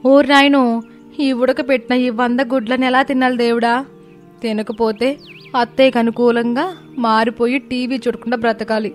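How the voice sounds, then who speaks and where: A woman plays a young daughter-in-law in a cartoon family tale. She sounds high, plaintive and anxious yet stubborn, with faint music underneath. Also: level tone, moderate pace, natural voice. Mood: anxious, determined. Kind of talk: cartoon moral-story voicing.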